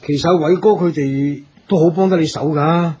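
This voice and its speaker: An actor playing a loyal, tactful aide in a radio drama, hesitant and soothing, with a Hong Kong Cantonese accent. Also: male voice, on the high side, steady tone, moderate pace, mellow, breathy edge, dull audio. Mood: deferential, concerned. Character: loyal, tactful male aide to a stern boss.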